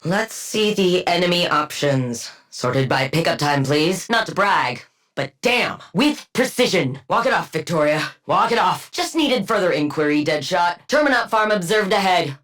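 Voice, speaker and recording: A woman's voice: fairly low pitch, very animated, normal speed, fairly bright tone. A woman playing a haughty, sardonic scientist supervillain in a video game, with clinical, precise diction and dry, condescending wit. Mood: dry, commanding. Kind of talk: scripted game character voice lines.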